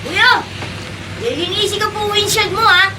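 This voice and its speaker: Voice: extremely high pitch, a little slow, noisy background. An actor playing a talkative, eager young street boy in a radio drama, speaking politely and earnestly. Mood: cheerful, eager.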